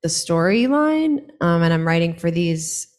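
A woman who is a songwriter, chatting casually as an interview guest in an animated, joking, self-deprecating way. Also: measured pace. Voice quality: low singing voice.

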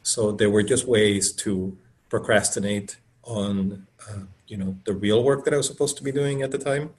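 A man's voice: fairly low pitch, fairly even intonation, moderate pace. A middle-aged man from Colombia, an author speaking as a guest over a video call, enthusiastic and rambling with many fillers. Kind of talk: casual conversational talk.

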